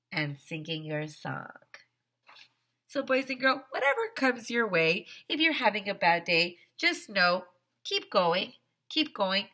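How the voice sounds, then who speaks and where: A woman in her thirties, a teacher, reading a picture book aloud to young children in a theatrical, exaggerated, sing-song manner with drawn-out words. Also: medium pitch, pushed and bright. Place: small decorated room, indoors. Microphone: headset.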